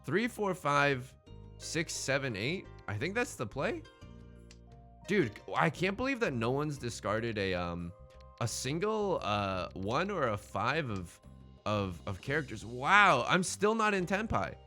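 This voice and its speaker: Male let's play host, slangy and chatty, very varied pitch, thinking aloud over light background music. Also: very animated, a little slow, sharp tone. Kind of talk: casual gameplay commentary.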